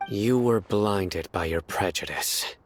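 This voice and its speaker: A man playing a stern, composed guardian in a fantasy game, speaking in a grave, measured way. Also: lowish voice, slight background noise. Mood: grave, firm. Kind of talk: dramatic fantasy game dialogue.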